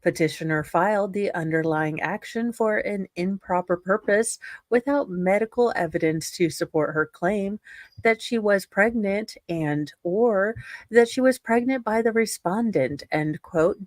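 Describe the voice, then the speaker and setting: A woman's voice: mid-range voice, measured pace, dry recording. A woman reading a legal document aloud as a performance, dropping in casual asides. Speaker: co-host reading a court ruling aloud.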